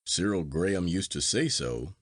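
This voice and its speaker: A man voicing a melancholy, reserved gentleman of forty in a storybook reading, reflective and quietly bitter. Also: low voice, lively intonation, normal speed, no echo, studio quality, pitched below the performer's own voice. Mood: sad, reflective. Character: melancholy, wistful forty-year-old gentleman friend, amused and reserved. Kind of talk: acted dialogue in storybook reading.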